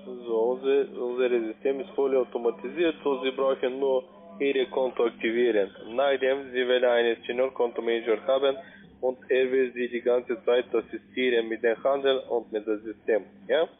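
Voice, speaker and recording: A man's voice: mid-range voice, flat delivery, normal speed. Salesman on tinny phone line. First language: not German. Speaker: call centre account manager. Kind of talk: scripted phone sales pitch.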